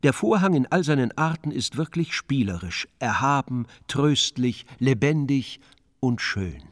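A man's voice: medium pitch, animated, measured pace, no room sound, close to an everyday voice. An actor as a dry, sardonic male commentator. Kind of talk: scripted monologue.

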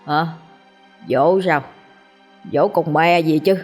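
Woman doing a drunk mean husband, low slurry voice, southern vietnamese accent, faint music behind. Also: fairly even intonation, unhurried. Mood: drunk, abusive. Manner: slurred, belligerent. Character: drunken, abusive middle-aged husband, slurring.

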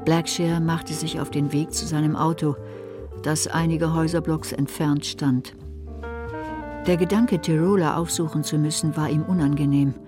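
A woman's voice: deep voice, fairly even intonation, normal speed, lightly acted. Actress as female radio drama narrator, sober, matter-of-fact, scripted, music underneath. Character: sober third-person crime story narrator.

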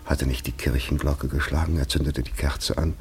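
A man's voice: extremely low pitch, fairly even intonation, moderate pace, a little mellow, faint music behind. A man narrating a literary radio drama as a grave storyteller, reading from a script.

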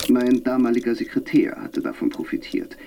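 Reflective narrator, mellow dark voice, gravelly and husky. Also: male voice, mid-range voice, animated, normal speed, slight background noise. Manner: reflective, half-whispered narration. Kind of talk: radio play narration.

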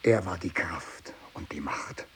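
An actor playing a fanatical, tormented captive missionary turned hateful zealot, in an intense German radio drama monologue from the 1960s. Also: male voice, sits low, a little slow, breathy edge, in a whisper, heavily acted. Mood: bitter, hushed. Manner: intense, swinging between hushed muttering and loud declamation. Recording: hissy 1960s radio production.

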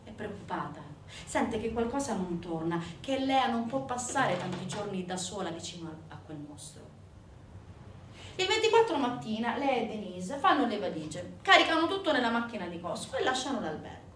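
A woman performing a scripted dramatic monologue as a stage narrator, with measured, weighted phrases. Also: mid-range voice, sing-song. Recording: roomy, distant sound with faint music.

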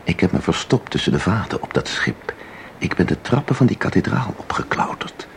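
A man's voice: deep voice, animated, brisk, vocal fry, slight background noise. An actor playing an intense, mysterious man in a radio drama, speaking with insistent, theatrical deliberation. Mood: dreamy, fervent. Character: intense, mysterious man who claims to enter paintings. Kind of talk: Dutch radio drama acting.

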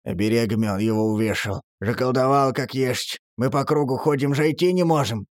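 A storyteller voicing a grim, pale, toothless young villager who speaks low and heavy. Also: fairly quick, no echo, studio quality, close to an everyday voice. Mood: grim, weary.

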